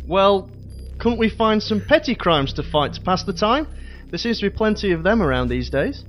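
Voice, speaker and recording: An actor playing a put-upon, eager young superhero sidekick in a comedy audio drama. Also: male voice, high voice, big pitch swings, moderate pace, a bit bright, faint music behind.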